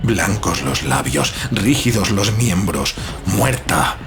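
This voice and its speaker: A man narrating a horror story in a solemn, suspenseful manner with dramatic pauses. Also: lowish voice, big pitch swings, creaky. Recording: prominent music behind the voice.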